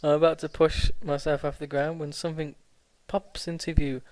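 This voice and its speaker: Stumbling gamer reading aloud. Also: male voice, a little high, flat delivery, moderate pace, a little mellow, no room sound. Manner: stumbling, self-correcting reading with frequent laughs and swearing. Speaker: let's play host. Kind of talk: casual gameplay commentary, reading visual novel aloud.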